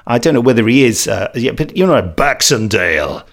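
A man's voice: medium pitch, big pitch swings, fairly quick, gravelly. A man hosting a podcast, chatting casually and reading reviews aloud with a jokey manner. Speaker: podcast host.

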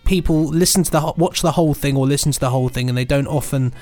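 A man co-hosting a podcast in casual chat, enthusiastic and halting, often repeating his phrases. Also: a little high, darkish tone, faint music behind. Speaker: podcast co-host.

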